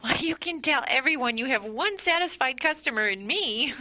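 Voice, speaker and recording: An actress plays a cheerful, lovestruck older small-town lady in an audio drama. Her voice is high and bright, gushing with dramatic delight, and it swings widely up and down. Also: animated, normal speed. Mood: smitten, delighted.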